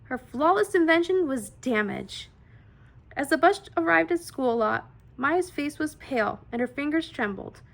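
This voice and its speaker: A woman narrates a children's storybook in a high voice with a creaky edge. Her reading is expressive and deliberate, leaning into the dramatic words as a read-aloud host would.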